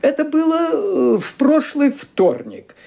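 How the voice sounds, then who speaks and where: A man's voice: very high voice, wildly swooping pitch, dark tone, full character voice. An actor playing a fussy, hesitant lawyer who stumbles over his words in a radio play. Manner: halting, full of "uh" hesitations. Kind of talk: Soviet radio play acting.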